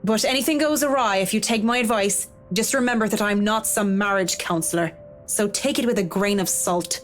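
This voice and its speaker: A woman's voice: mid-range voice, normal speed, lightly acted. A woman playing a frank, practical young professional in a visual novel game, dry, blunt and informal, with music behind her. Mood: blunt, advising.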